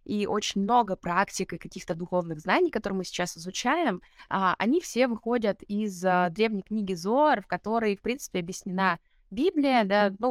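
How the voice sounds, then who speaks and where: A woman's voice: mid-range voice, no room sound, studio quality. A woman in her twenties, a guest in an interview, talking reflectively with many fillers and restarts. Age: 20s. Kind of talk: casual interview talk.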